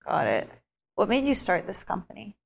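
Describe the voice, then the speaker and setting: A woman's voice: mid-range voice, big pitch swings, normal speed, creaky. A woman hosting a podcast interview, asking short attentive questions. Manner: attentive, brief questions and backchannels. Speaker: podcast host.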